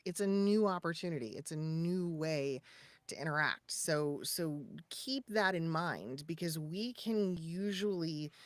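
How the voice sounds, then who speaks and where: A woman co-hosting a podcast, giving casual, conversational advice in an animated, emphatic way with short punchy asides. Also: deep voice, no room sound. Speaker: podcast co-host and voice actor.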